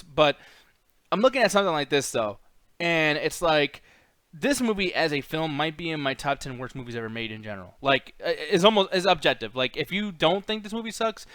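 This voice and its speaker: A man's voice: on the high side, lively intonation, a little fast, a bit bright, dry recording. A man hosting a casual, opinionated movie chat, ranting bluntly with lots of swearing and mocking quotes. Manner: mimics characters in mocking quotes. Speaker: podcast host.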